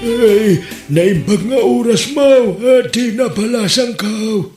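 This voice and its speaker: Village helper, played by a man: slow, high male voice, Ilocano accent, comic drama, echo and music. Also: darkish tone. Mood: welcoming. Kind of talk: Ilocano comedy drama acting. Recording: roomy echo, music behind.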